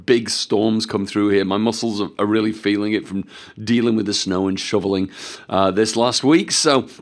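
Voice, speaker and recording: A man hosting a live webinar, his voice a little croaky as he recovers from flu, talking to the audience in an upbeat, salesy way. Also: on the low side, fairly even intonation, moderate pace. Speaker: webinar host.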